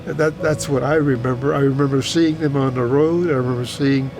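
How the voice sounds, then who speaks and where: A man in his eighties from Hungary, a native German speaker, recalls his life in a halting, repetitive, plain-spoken way, as in an oral history interview. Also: medium pitch, steady tone. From Hungary, German-speaking household. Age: 80s. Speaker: retired teacher recounting his life. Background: music under the voice.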